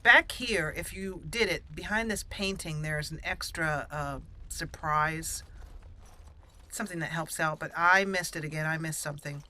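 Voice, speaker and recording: A woman's voice: low-pitched, normal speed, bright, forceful tone, slight background noise. A young woman casually commenting on her game as she plays, chatty and giggly, rambling with fillers. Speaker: let's play host.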